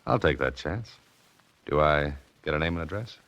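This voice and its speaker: An actor playing a tough, dogged amateur sleuth in a 1940s radio detective drama, speaking and narrating tersely in a deadpan way. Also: male voice, extremely low pitch, normal speed, dry recording. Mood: terse, determined. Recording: muffled vintage radio recording with music underneath.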